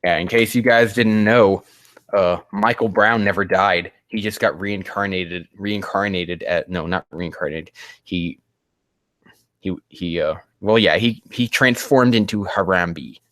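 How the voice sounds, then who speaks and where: A man with a low voice hosts a casual livestream, recorded dry and close with very little room sound. He rambles haltingly, often muttering to himself, and swears offhandedly as he goes. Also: fairly bright tone, dry recording. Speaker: livestream host.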